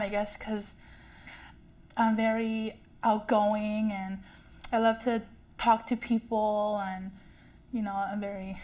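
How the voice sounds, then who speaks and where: A young woman with a stutter speaks slowly and haltingly, repeating sounds and leaning on fillers. Her voice sits fairly high, and she takes her time with each phrase. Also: a little mellow. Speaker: community member sharing a story. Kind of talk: personal testimony.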